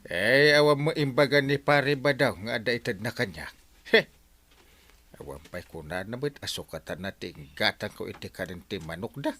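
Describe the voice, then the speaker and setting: A man's voice: lowish voice, animated, normal speed, bright, forceful tone, heavily acted. A man with an Ilocano Filipino accent playing a grumbling village captain in an audio comedy, exclaiming and complaining. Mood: questioning. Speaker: lead actor in comedy drama.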